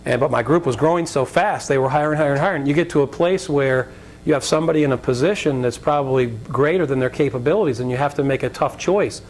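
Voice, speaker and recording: An American man with a slightly raspy voice giving an informal, conversational talk as a guest speaker, telling anecdotes, joking and drifting into tangents. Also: quick pace, darkish tone. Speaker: guest speaker, business leader. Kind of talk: informal lecture with anecdotes and audience questions. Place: college lecture event before an audience. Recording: rumbly live talk with background noise.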